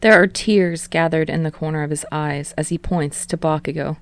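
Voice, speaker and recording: Low, creaky woman narrating a story. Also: fairly even intonation, moderate pace. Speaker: audiobook narrator of fan fiction.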